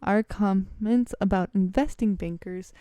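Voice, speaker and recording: A woman's voice: mid-range voice, measured pace, mellow, no room sound, clean studio sound. A woman reading a text aloud, stumbling over words and correcting herself as she goes. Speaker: vlogger reading a letter aloud.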